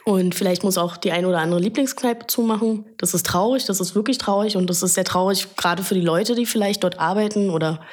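A woman's voice: medium pitch, fast, hardly any pauses, breathy edge. Chatty woman in her thirties. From Berlin, Germany. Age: 30s. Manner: chatty, earnest, many fillers. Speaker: photographer and guest. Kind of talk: casual interview talk.

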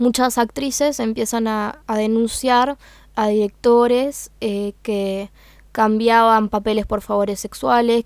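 A woman's voice: on the high side, gravelly, darkish tone, no room sound. A young woman with a Uruguayan accent, talking through her thoughts in a casual, hesitant monologue full of fillers. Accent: Uruguayan Spanish. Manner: hesitant, searching for words with many fillers. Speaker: meme page creator.

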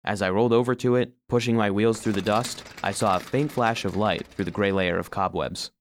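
An actor playing an earnest, sensitive household robot who narrates his own story for children in an expressive, heartfelt way. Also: fairly low pitch, brisk, a little hiss or hum, lightly acted. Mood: suspenseful. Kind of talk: scripted first-person storybook narration for children.

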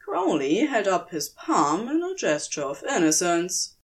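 A woman's voice: fairly low pitch, very animated, a little slow. A woman narrator reading scripted fiction, telling the story between lines of dialogue.

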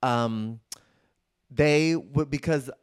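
A man's voice: medium pitch, very slow, clean tone. A young man from Arizona, a comedian guest telling stories in a casual podcast chat, full of fillers and restarts. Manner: animated storytelling, many fillers and restarts.

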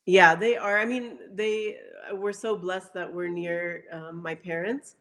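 A woman speaking as an interview guest in casual chat, pausing often and letting phrases trail off. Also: fairly low pitch, steady tone, fairly bright tone.

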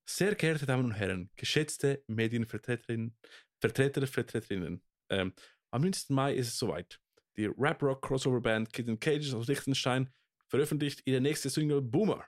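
A man in his twenties with a Swiss German accent, co-hosting a casual podcast and often pausing on fillers and restarts. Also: medium pitch. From Switzerland. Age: 20s. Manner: hesitant, lots of fillers and restarts. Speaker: podcast co-host. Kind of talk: casual chat.

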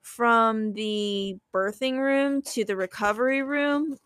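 A woman's voice: on the high side, slow, a bit bright, a little hiss or hum. A young woman telling her own story as a podcast guest in a casual, chatty way, giggling as she talks. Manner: chatty, giggles while talking.

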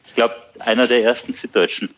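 A man from Bavaria with a Bavarian accent, a podcast guest talking casually and haltingly with lots of fillers. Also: mid-range voice, lo-fi sound, slight background noise. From Bavaria, Germany. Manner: relaxed, hesitant with many fillers. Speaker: podcast guest, surf school owner.

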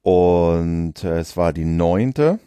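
A man's voice: low-pitched, drawn-out words, smooth, dry recording. A man co-hosting a political podcast as a journalist, talking casually with many fillers. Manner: relaxed, conversational, frequent fillers.

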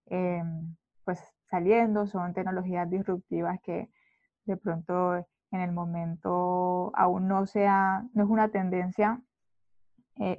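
A woman interviewing a guest, asking polite, measured questions in a casual conversation. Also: on the low side, dry recording. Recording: thin phone-like line.

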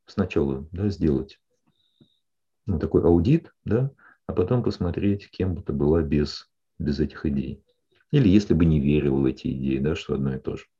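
A man with a deep, warm and husky voice counsels a client in a steady, explanatory way. He keeps pausing to check that she follows. The sound is a little dull and lo-fi. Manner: calm, explanatory, often checks in with "да?". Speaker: psychologist. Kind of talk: counseling session.

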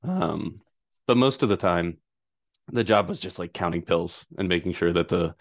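A man in his thirties from Rhode Island, a guest answering interview questions in a relaxed, rambling way with frequent fillers. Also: low-pitched, moderate pace, vocal fry, dry recording, clean studio sound. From Rhode Island, USA. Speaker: guest, privacy attorney.

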